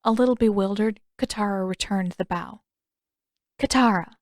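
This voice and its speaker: A woman's voice: mid-range voice, moderate pace, no echo. Female fiction narrator, medium register, close dry studio-like sound, scripted storytelling read. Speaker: audiobook narrator.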